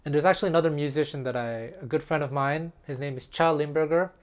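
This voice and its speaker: A middle-aged man who grew up speaking Taiwanese, a music teacher talking casually to camera in an earnest, reflective, slightly halting way. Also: a little high. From French-speaking Canada. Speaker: guitar teacher. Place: small room indoors.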